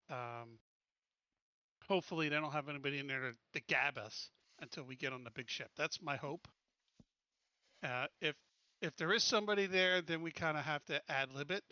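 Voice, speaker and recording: A man's voice: fairly high pitch, sharp tone, clean tone, dry recording, muffled recording. A man talking casually as a tabletop game player, thinking aloud while he plans his moves.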